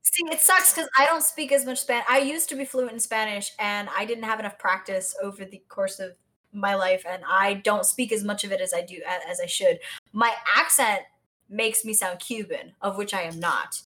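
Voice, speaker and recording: An American woman from South Florida chatting casually as a podcast co-host, telling stories in an animated way. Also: mid-range voice, moderate pace. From South Florida, United States. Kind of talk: casual conversational chat.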